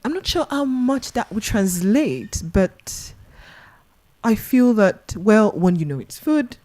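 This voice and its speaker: A young woman novelist talking in a live festival conversation, measured and reflective, with bursts of self-mocking humor. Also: mid-range voice, expressive, darkish tone. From Nigeria. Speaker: novelist guest.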